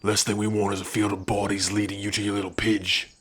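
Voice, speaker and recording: A man's voice: lowish voice, completely flat delivery, quick pace, a bit bright, husky. A man playing a level-headed, wry comrade of an orc warrior in an ASMR fantasy roleplay, cautioning in a folksy way. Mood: wry, cautious.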